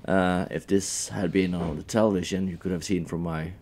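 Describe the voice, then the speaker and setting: A man's voice: deep voice, fairly even intonation, normal speed, darkish tone. A man in his thirties from Norway with a Norwegian accent, a brewery guest chatting casually and joking about himself. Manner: joking, self-deprecating. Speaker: brewery sales manager guest. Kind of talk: casual interview chat.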